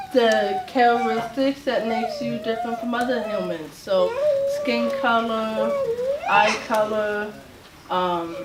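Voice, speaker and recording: A woman from Latvia giving an informal talk to a group as a self-advocate, speaking haltingly with many fillers and restarts. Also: fairly high pitch, heavy background noise. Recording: roomy echo, voice far from mic, faint ambience.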